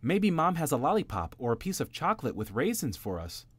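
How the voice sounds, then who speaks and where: A squeaky little boy bunny, greedy and impulsive, squealing high and swinging his voice all over the place, as a man puts him on. Close and dry, like a bedtime storybook read right into the mic. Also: a little fast, no echo. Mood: eager. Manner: high excited childlike squeals. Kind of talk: storybook reading.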